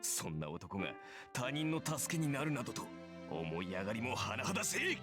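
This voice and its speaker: An actor playing a stern, scornful man in a Japanese visual novel, speaking in a cold, accusing, deliberate way. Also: medium pitch, wildly swooping pitch. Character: stern, scornful man confronting a younger idealist. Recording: game dialogue over loud music.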